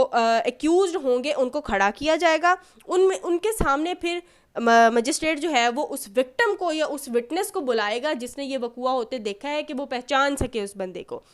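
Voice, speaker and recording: Woman, Pakistani accent, high-pitched, didactic lecture delivery, rephrases and repeats points. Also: a bit bright. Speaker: law lecturer. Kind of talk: explanatory lecture mixing Urdu and English terms.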